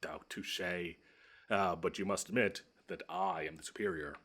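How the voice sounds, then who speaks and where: A man's voice: low-pitched, fairly even intonation, normal speed, fairly bright tone. A man voicing a smug, theatrical monster from under the bed, menacing and easily flustered, in an audio roleplay. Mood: incredulous, haughty. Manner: theatrical, taunting, haughty. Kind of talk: scripted one-sided audio roleplay.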